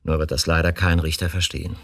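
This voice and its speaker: An actor playing an embittered, weary middle-aged police chief who broods and turns sarcastic in a radio drama. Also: male voice, a bass voice, flat delivery, fast talker, fairly bright tone. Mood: resentful.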